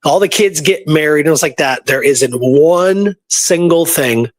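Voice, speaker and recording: A man's voice: fairly high pitch, unhurried, no echo. A man co-hosting a casual talk show about research, telling things conversationally and often checking in with the listener. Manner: animated storyteller, often tags points with "right?". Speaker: show co-host and history researcher. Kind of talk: casual chat.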